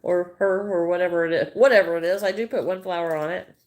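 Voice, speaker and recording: A woman's voice: on the low side, fairly even intonation, fast talker, darkish tone. A middle-aged Midwestern American woman chatting casually on a crochet livestream, greeting viewers by name and thinking aloud as she works.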